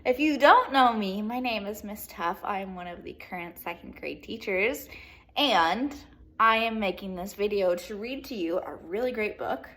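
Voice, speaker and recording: A woman teacher reading a children's picture book aloud in an expressive way, with casual asides to young pupils. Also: medium pitch, normal speed. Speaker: second grade teacher.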